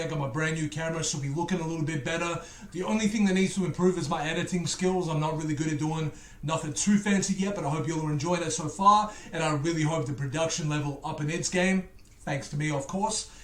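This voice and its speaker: A man's voice: a little high, fairly even intonation, fast talker, fairly bright tone, roomy sound. A man from England with an Australian accent, talking casually to viewers in a music reaction video, chatty and joking with rambling asides.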